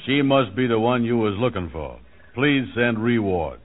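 An actor playing a gullible, scheming sidekick in an old American radio comedy, colloquial and plodding. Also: male voice, medium pitch, lively intonation, moderate pace, lightly acted. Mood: earnest, reading aloud. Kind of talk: 1940s-50s American radio comedy acting. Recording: tinny, band-limited old radio recording.

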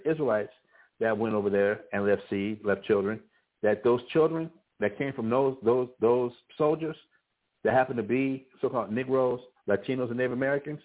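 A man teaching a scripture class, preaching in a halting way with many restarts and fillers. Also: mid-range voice. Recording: phone-like narrow band.

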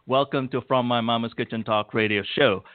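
A man hosting a radio talk show, introducing a guest and interviewing him in a formal, measured way. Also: medium pitch, steady tone, no echo. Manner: formal, warmly measured delivery. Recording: tinny phone line.

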